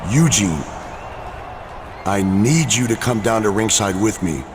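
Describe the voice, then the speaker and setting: A man's voice: fairly low pitch, animated, measured pace. A man playing an earnest wrestler in a video game, speaking in an insistent, plain-spoken way. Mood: urgent concern. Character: earnest, pleading man wrestler urging his tag partner. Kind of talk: scripted video game cutscene acting. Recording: clear ambient noise behind voice.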